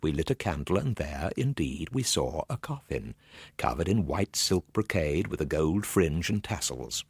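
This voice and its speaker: A male storyteller with a low, slightly rough voice reads a comic ghost story. His delivery is theatrical and highly varied in pitch, with careful old-fashioned diction, recorded close and dry. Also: dry recording. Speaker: storyteller, actor. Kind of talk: dramatic first-person narration of a comic ghost story.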